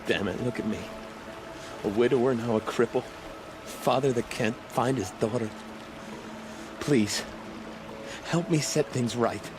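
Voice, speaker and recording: A man's voice: medium pitch, measured pace, pauses often, background noise, natural voice. An actor playing a bitter, desperate widowed father in a video game, talking in a pleading way. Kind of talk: video game dialogue acting.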